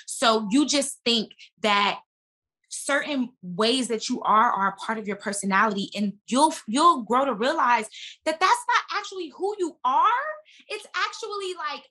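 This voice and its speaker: A woman speaking as an actress guest in a casual interview, emphatic and animated as she tells stories. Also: fairly high pitch, a little slow.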